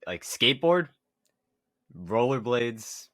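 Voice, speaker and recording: A man's voice: mid-range voice, very animated, slow, a bit bright, dry recording, clean studio sound. A young man co-hosting a podcast, talking casually. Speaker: podcast co-host. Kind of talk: casual chat.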